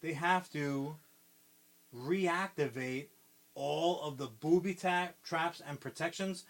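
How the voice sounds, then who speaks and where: A man with a voice pitched a touch higher than most, chatting loosely as a podcast co-host. He rambles through a story, swears freely and leans on fillers and restarts. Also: slow, fairly bright tone. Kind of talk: casual pitch-style movie retelling.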